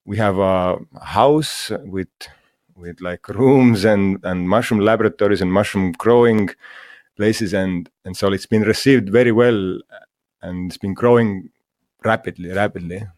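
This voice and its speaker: A man from Estonia, a guest in an interview, speaking English in a halting way with many fillers and restarts. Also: lowish voice, a little mellow, dry recording, clean studio sound. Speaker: guest, mushroom school founder. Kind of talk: casual interview talk.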